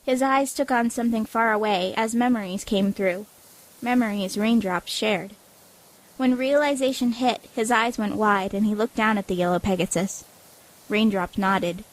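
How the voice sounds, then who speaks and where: A woman's voice: medium pitch, moderate pace, poor audio. A woman reading scripted story narration as the narrator of an audio drama.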